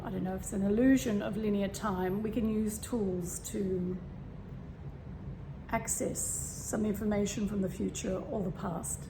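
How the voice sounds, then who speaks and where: Croaky woman over fan hum. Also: mid-range voice, unhurried. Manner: relaxed, meandering, frequent fillers and throat clearing. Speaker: spiritual vlogger. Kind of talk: casual spiritual talk. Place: home room with a fan running. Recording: steady fan hum behind. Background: fan noise.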